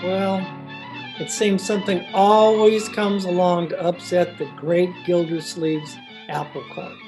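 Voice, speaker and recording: Animated woman announcer over music. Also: lowish voice, unhurried, rough recording. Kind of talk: scripted radio comedy narration.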